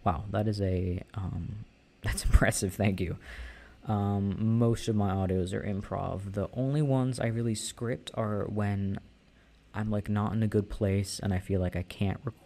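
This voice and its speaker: A young man answering listener questions in a casual, soft-spoken, hesitant way, with many fillers and restarts. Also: lowish voice, steady tone, unhurried. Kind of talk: casual Q&A chat.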